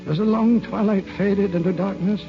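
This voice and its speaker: Creaky, high-voiced old guardian, vintage radio. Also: male voice, fairly even intonation. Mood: wistful reminiscence. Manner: measured, formal, dramatic. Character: stern, grieving elderly guardian uncle, wistful about the past. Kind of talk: 1930s-40s radio drama acting. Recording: muffled old radio broadcast, music behind.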